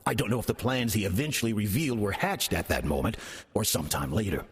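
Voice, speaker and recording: An actor playing a sly demon who passes as a folksy churchgoer, in a wry, calculated audio drama performance. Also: male voice, on the low side, expressive, quick pace, no room sound, heavily acted. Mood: wry, confiding. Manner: smooth-talking, wry, calculated. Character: sly demon posing as a friendly small-town churchgoer. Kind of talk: audio drama acting and first-person narration.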